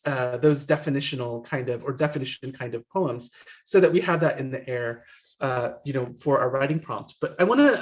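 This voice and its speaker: A man reading his own poetry aloud during a virtual workshop, pausing with soft fillers between poems, heard through a video call. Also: a little high. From born in London, family from Guyana. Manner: reads in second person, stops with soft fillers between poems. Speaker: poet reading his work.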